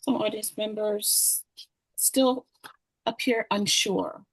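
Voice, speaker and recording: Lady narrator reading screenplay directions, mid pitch, very dry close sound. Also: fairly even intonation, takes it slowly, dry recording, rough recording. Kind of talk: scripted narration, screenplay table read.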